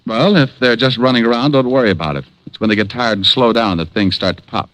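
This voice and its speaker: An actor playing a cocky, wisecracking private detective, speaking in a wry, laid-back 1940s radio style. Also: male voice, mid-range voice, fast talker, fairly bright tone, dry recording, natural voice, low-quality recording.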